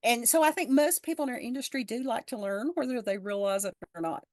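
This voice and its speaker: A woman's voice: fairly high pitch, fast talker, darkish tone, dry recording, studio quality. A woman in her fifties, a podcast guest, talking frankly and earnestly and often restarting her words as she thinks. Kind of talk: casual conversation. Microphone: video call.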